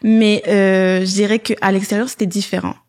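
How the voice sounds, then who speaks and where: Female podcast guest, thoughtful and hesitant, some English, mid pitch. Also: level tone, normal speed, darkish tone. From Montreal, Canada. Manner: reflective, hesitant, mixes in English. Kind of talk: casual podcast chat.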